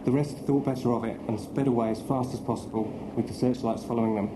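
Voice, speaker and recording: An actor playing a cheerful young British naval sub-lieutenant with an English accent, reading a letter home in a chatty, understated way. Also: male voice, mid-range voice, fairly even intonation, fast talker, reverberant, noisy background, natural voice.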